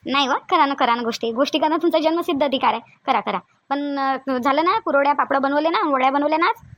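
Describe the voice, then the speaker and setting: A woman's voice: high voice, fast talker, lo-fi sound, close to an everyday voice. A woman with a Varhadi Marathi accent voicing a nagging, sarcastic older village mother-in-law in a family cartoon. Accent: Varhadi (Vidarbha) Marathi. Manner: scolding, sarcastic, demanding. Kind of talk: cartoon family comedy acting.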